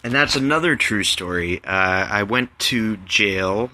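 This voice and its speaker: A man of thirty presents his own writing on a podcast. His voice is fairly low and bright, and he takes his time with a very slow, deliberate delivery. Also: animated, dry recording. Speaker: writer reading and explaining own work on podcast. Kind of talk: literary reading mixed with casual explanation.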